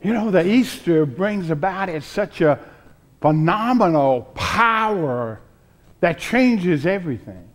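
Man in his sixties, American accent, pastor's sermon, slightly high-pitched voice, very slow delivery, short deliberate phrases, frequent pauses. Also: expressive. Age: 60s. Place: church sanctuary, at a pulpit before a choir.

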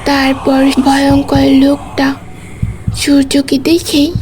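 A woman storyteller reads scripted narration in a high voice. She goes slowly, pausing between phrases, over faint music. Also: monotone. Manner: deliberate, with pauses between phrases.

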